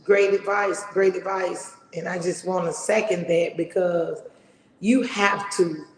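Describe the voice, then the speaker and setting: A middle-aged woman hosting a podcast, talking loudly and emphatically in a big voice. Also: fairly low pitch, fairly even intonation, measured pace. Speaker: podcast host. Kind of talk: motivational casual talk. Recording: echoey room with long reverb.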